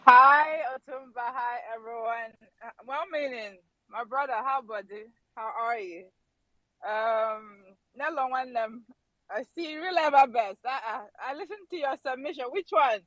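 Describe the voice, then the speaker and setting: Cheery nigerian lady in an online room, sharp bright voice, dry, laughing a lot. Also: sits high, normal speed, no room sound, poor audio. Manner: playful, laughing, blessing-giving. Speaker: participant in an audio space. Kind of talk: casual chat, switching into Igbo.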